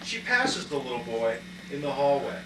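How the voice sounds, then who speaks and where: A man's voice: medium pitch, big pitch swings, normal speed. A man narrating a scripted puppet show, announcing scenes as he reads. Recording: echoey room, distant mic, noisy.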